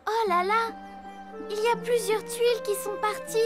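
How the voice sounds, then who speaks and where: A woman's voice: very high-pitched, fairly even intonation, moderate pace. A woman voicing an eager, trusting little elephant child in a children's cartoon, with a childlike manner. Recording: prominent music behind the voice.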